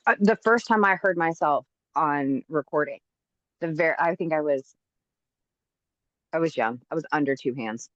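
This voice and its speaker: A woman co-hosting a casual podcast chat, talking in an animated, emphatic way and getting motivational. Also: sits low, moderate pace, long pauses, no room sound, highs cut off. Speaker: podcast co-host.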